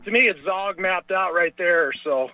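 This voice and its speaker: A man calls in to a radio show over a dull, lo-fi phone line. His voice has a bright, forceful edge and a dry sound. He talks in a loose, casual way. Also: high-pitched, moderate pace, no room sound. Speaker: caller.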